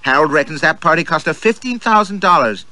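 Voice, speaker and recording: Man voicing gossipy young girl, high-pitched. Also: animated, fairly quick, pushed and bright, no echo. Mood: excited gossip. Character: chattering, gossipy young American working girl.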